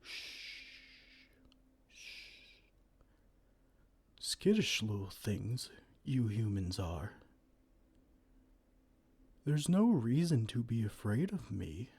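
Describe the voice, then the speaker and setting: A man voicing a gentle, kind male giant who talks softly and soothingly in an ASMR roleplay. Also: lowish voice, very animated, extremely slow, pauses often, slightly rough, full character voice. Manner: soft, soothing, comforting.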